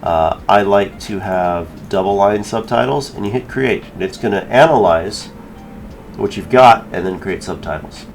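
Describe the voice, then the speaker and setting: A man with a low voice talks through a screen-recorded software tutorial. He is informal and thinks aloud as he works, with faint music behind him. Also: normal speed. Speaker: tutorial creator.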